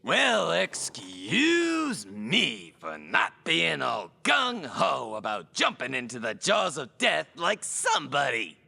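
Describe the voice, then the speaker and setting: A man's voice: fairly high pitch, wildly swooping pitch, takes it slowly, vocal fry, hardly any pauses, pushed and bright, exaggerated voice. A man playing a sarcastic, reluctant, cowardly sidekick in a comic audio drama, grumbling and whiny. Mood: indignant.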